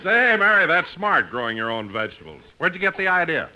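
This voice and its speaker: A man's voice: fairly high pitch, very animated, a little fast, sharp tone. A man playing straight sidekick in a scripted 1950s radio comedy. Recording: noisy vintage radio broadcast, studio audience.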